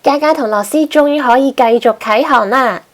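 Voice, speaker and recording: A woman's voice: high-pitched, fairly quick. A woman narrating a children's storybook expressively as host.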